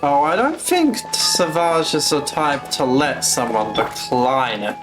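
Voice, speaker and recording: Low-voiced woman streaming games, blunt. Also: lively intonation, slow pace. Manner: blunt, sweary. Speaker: game streamer. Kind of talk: casual live game commentary. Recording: game music behind. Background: video game music.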